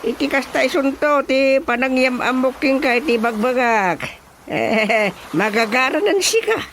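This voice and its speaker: A man with an Ilocano accent playing an eager, wheedling rural cousin in a radio drama comedy, flattering with hesitant pauses. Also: very high-pitched, slight background noise, exaggerated voice.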